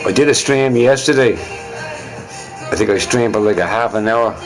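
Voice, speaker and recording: A man's voice: medium pitch, a little slow. Guy livestreaming alone, chatty and sweary, laughs a lot, music going in the background. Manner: casual, profane, laughs often. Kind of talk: rambling solo stream chat.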